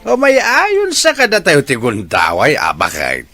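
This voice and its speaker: Casting: sly old married man, Ilocano accent, slow sharp delivery, teasing, radio drama. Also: medium pitch, huge pitch swings, soft background music, exaggerated voice. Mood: teasing, cynical. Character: crafty, materialistic, teasing old husband.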